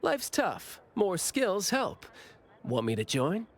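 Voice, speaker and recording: An actor playing a playful, teasing senior bureau officer in an anime game, with drawn-out, theatrical delivery. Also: medium pitch, wildly swooping pitch, slight background noise, exaggerated voice. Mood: confident, easygoing.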